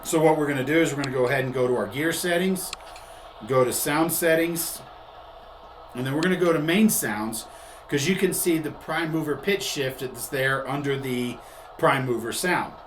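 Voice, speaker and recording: A man's voice: on the high side, normal speed. A man presenting a tutorial demonstration in a conversational, explanatory way, often rephrasing his points. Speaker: host and product demonstrator. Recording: echoey room with faint background noise.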